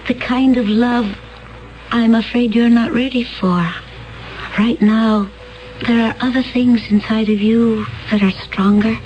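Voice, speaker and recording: An actress playing a calm alien woman from another planet in a 1970s radio drama, speaking in a flat, measured, otherworldly way. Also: fairly high pitch, dull audio, natural voice. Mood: serene.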